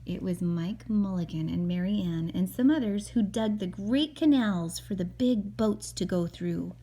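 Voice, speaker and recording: Slightly husky mother reading a storybook aloud. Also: mid-range voice, unhurried, dark tone. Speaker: mother reading a picture book to her young child. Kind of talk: storybook read-aloud with casual asides to a child.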